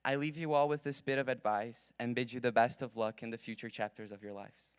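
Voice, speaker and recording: A young man whose first language is Portuguese, giving an earnest, formal graduation speech from a podium at a ceremony, with measured pacing and dramatic pauses. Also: mid-range voice, fairly even intonation, clean tone, no room sound, clean studio sound. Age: young adult. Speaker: graduating student speaker. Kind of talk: prepared commencement speech.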